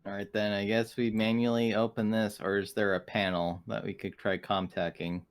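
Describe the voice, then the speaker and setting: A man's voice: on the low side, level tone, normal speed. A man chatting casually as a player in a tabletop roleplaying game.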